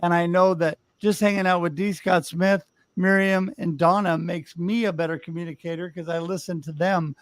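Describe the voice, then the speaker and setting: A man's voice: sits high, fairly even intonation, normal speed, hardly any pauses, no echo. A man hosting a talk show over a video call, upbeat and encouraging as he wraps up with his guests. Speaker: show host. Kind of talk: casual interview hosting.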